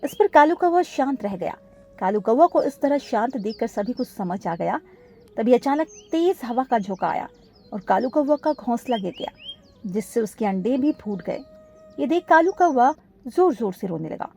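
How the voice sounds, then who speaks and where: A woman's voice: fairly high pitch, big pitch swings, moderate pace, warm tone. A woman reading scripted narration for a children's cartoon story.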